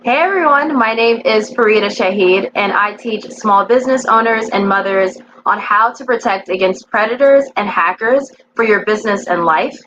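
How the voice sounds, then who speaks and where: A woman from the American East Coast tells her story as a guest, earnest and animated. Her voice sits at a middle pitch. The sound is a little dull and lo-fi, with a faint hiss behind it. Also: normal speed, hardly any pauses. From East Coast of the United States. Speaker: guest, cybersecurity educator.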